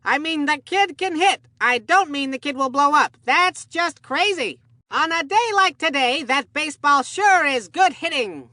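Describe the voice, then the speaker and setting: An actor voicing an excitable, absent-minded kids' baseball game commentator who rambles in an earnest, folksy way. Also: high voice, unhurried, dry recording, studio quality, heavily acted. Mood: upbeat, folksy. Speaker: sports commentator. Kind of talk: cartoon video game sports commentary.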